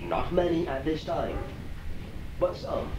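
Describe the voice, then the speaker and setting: Man acting as channeled alien being, slow halting delivery, highish swooping pitch, formal stilted wording, assured teacher, echoey distant tape. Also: expressive, highs cut off. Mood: instructive, encouraging. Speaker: channeler answering audience questions. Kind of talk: channeling session, question and answer. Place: echoey room, recorded from afar. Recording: echoey, noisy old cassette, distant mic.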